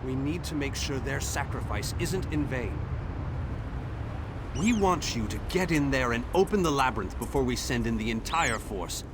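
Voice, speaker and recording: An actor playing an earnest, determined war leader in a fantasy video game, giving grave, measured briefings. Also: male voice, medium pitch, expressive, background noise, natural voice. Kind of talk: video game character acting.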